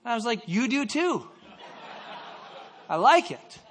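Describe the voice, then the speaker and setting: High-voiced preacher telling stories. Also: male voice, sing-song, takes it slowly, a bit bright, a little hiss or hum. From Canada. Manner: storytelling with self-deprecating asides and repeated restarts. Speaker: pastor. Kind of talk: sermon.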